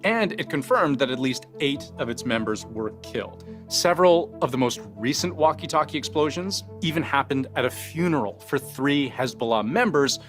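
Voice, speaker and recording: A man hosting a news explainer, narrating from a script in deliberate, emphatic phrases with rhetorical questions. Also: mid-range voice, lively intonation, a bit bright. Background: background music bed.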